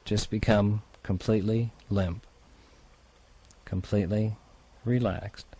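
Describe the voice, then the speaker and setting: Low-voiced man guiding relaxation. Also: fairly even intonation, slightly rough. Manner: soothing, unhurried, gently instructing. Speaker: therapist guiding a relaxation exercise. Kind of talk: guided relaxation instruction.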